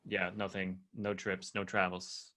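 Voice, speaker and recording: Bright-toned young man, hesitant and halting. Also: deep voice, level tone. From Estonia. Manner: hesitant, many fillers and restarts. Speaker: artist in a collaboration. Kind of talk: casual video-call chat. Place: apartment in a quiet block.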